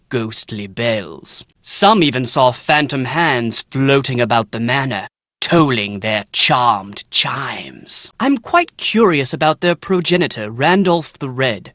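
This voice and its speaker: An actor playing a pompous, excitable British scholar and would-be author in a video game, speaking in bookish, theatrical diction. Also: male voice, medium pitch, no echo, clean studio sound. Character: Nigel Mukherjee, pompous, excitable British male scholar writing family history. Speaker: researcher and aspiring author. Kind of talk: video game character dialogue.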